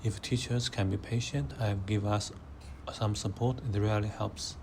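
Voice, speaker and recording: A young man of eighteen with a Mandarin Chinese accent, his voice sitting a little low. He answers in a measured, hesitant way, pausing on fillers. Faint background noise runs under the recording. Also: fairly even intonation. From China. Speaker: interviewee, high school student. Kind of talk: careful answering in interview.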